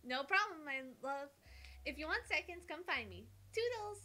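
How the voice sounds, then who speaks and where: A woman's voice: high voice, sing-song, moderate pace, sharp tone, pitched above the performer's own voice, full character voice. A woman voicing a bubbly, cute young scientist for a visual novel, in an exaggerated, chirpy manner. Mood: cheerful. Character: cute bubbly French girl scientist, blithely dissecting monsters. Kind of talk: playful visual novel character read-aloud.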